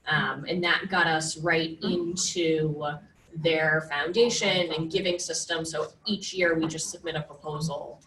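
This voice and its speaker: A low-voiced woman explains her program's funding plainly and conversationally. Her voice is distant and echoes around the meeting room, with a faint hum underneath. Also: steady tone, a little slow, fairly bright tone. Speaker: grant-funded prevention coordinator. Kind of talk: answering questions in a group discussion.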